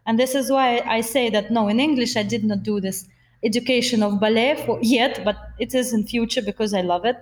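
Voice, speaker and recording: A woman's voice: fairly high pitch, fairly quick, roomy sound. A woman with a Russian accent, a voice coach talking earnestly in an interview and laughing often. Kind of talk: podcast interview.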